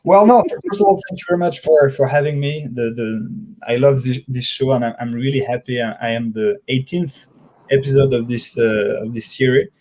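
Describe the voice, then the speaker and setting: A man from France with a French accent, speaking as an interview guest in a halting, conversational way, repeating words as he searches for them. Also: mid-range voice, a little mellow, poor audio.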